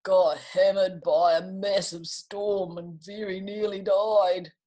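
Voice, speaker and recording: A woman with a New Zealand accent voicing a big, battered male albatross, weary but game, in a drawn-out, emphatic storybook voice. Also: medium pitch, steady tone, dark tone, husky. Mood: pained, weary. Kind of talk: children's storybook character voice.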